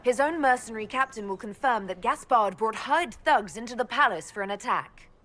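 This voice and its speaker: A woman's voice: on the high side, animated, fairly quick, a bit bright, soft background music. A woman playing a composed, decisive inquisitor in a fantasy video game, matter-of-fact and assertive. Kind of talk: video game dialogue acting.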